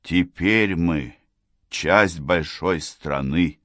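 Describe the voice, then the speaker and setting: A man reciting verse in a solemn, declamatory way, with sweeping rises and falls in pitch and loudness. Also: extremely slow, no room sound, clean studio sound. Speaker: poetry reader.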